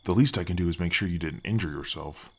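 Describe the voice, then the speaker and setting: A man voicing a friendly, halting, ancient gargoyle guardian in an ASMR fantasy roleplay, with a deep voice effect. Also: slight background noise. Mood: concerned. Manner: halting, friendly, reassuring, chatty. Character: gentle, helpful male gargoyle, ancient temple guardian.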